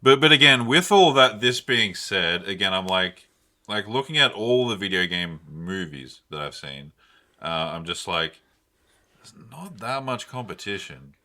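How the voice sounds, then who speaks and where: A man's voice: lowish voice, lively intonation, measured pace, sharp tone. A man with an Australian accent co-hosting a podcast in a casual, rambling way, often saying "like". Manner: rambling, hedging, heavy use of "like". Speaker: podcast co-host. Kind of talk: casual pop-culture podcast chat.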